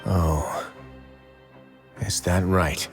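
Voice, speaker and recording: A man voicing a composed, shrewd, authoritative duke in charge of a fortress, speaking in a measured way in a video game. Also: sits low, steady tone, music in the background, heavily acted. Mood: attentive. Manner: measured, commanding.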